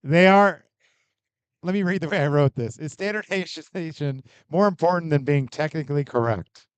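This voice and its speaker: A man moderating a conference panel, talking in a lively way and addressing the crowd. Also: fairly high pitch, normal speed, smooth, no room sound.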